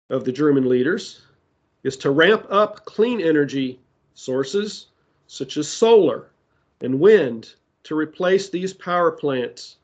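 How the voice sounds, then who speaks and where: A man preaching a sermon that ties prophecy to current events, speaking deliberately with side remarks, his voice giving out. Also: a little high, dull audio. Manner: slow, deliberate delivery with asides. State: losing his voice.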